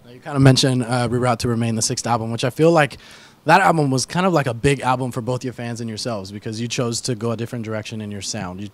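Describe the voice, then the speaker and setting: A man's voice: medium pitch, fast talker. A man interviewing band members, asking casual questions full of fillers, holding a handheld mic on a couch in an indoor room.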